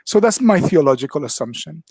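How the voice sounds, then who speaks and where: An older man from the Republic, speaking thoughtfully as a panelist on a video call from his kitchen, with many pauses and ums. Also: a little high, very animated, darkish tone, slightly rough, dry recording. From Dominican Republic. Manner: thoughtful, frequent ums, measured. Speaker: panelist, minister of worship arts. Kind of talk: reflective panel talk. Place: home kitchen. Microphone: headset on video call.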